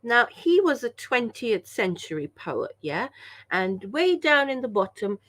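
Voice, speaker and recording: A woman's voice: a little high, very animated, a little slow, fairly bright tone. Chatty woman leading an online workshop. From Pakistan. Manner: enthusiastic, chatty, frequent "yeah?" check-ins. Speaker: workshop leader. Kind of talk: online language workshop teaching.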